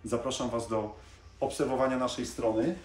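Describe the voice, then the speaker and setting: A man, chatting casually to camera as a salesman in a coffee machine showroom, pausing with small hesitations as he points things out. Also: medium pitch, steady tone, darkish tone, faint music behind. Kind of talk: casual product presentation to camera. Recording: echoey showroom with faint room noise.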